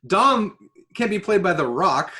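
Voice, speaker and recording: A man's voice: high-pitched, big pitch swings, a little slow, bright, forceful tone. A man co-hosting a casual podcast chat, speaking his opinions freely with plenty of swearing. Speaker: podcast co-host. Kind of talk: casual podcast chat about films and games.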